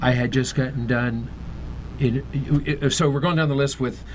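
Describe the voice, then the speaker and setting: Halting man chatting over background noise. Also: medium pitch, fairly even intonation, rough recording. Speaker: comedy impressionist performer. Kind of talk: casual anecdote in a talk show. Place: live broadcast studio.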